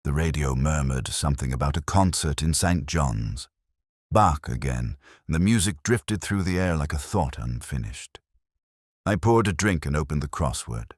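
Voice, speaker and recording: A man reading a scripted first-person literary detective story in a measured, melancholy, reflective manner. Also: a bass voice, lively intonation, breathy edge, no room sound, clean studio sound.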